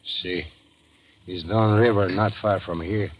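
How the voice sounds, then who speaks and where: An actor playing a grave village chief in a radio adventure, with a Spanish accent and halting, clipped broken English. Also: male voice, sits low, fairly even intonation, highs cut off. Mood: grave, resigned. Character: village chief, grave older man, terse broken speech. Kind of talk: old-time radio adventure drama acting. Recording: noisy vintage radio broadcast recording.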